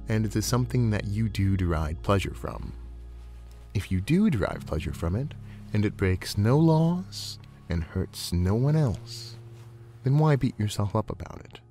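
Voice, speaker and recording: A man voicing a genteel, soothing, playfully predatory snake-creature counselor in a measured, eloquent roleplay audio. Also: on the low side, mellow.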